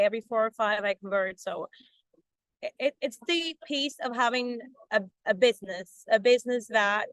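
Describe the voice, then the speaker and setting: A woman's voice: on the high side, a bit bright, clean tone, dry recording, rough recording. A woman from Ecuador, giving a chatty testimonial about her coaching business with many fillers and restarts. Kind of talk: casual testimonial interview.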